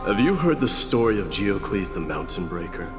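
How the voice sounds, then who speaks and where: A man playing a grave, proud warrior who tells an old legend in a measured, formal way for a video game. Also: low voice, very animated. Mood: solemn. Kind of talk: dramatic video game storytelling. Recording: reverberant hall, music behind.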